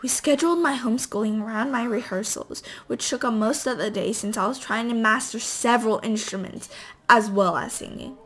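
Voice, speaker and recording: A woman reading a novel aloud as an amateur, halting at times as she stumbles over words and corrects herself. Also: fairly high pitch. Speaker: amateur reader.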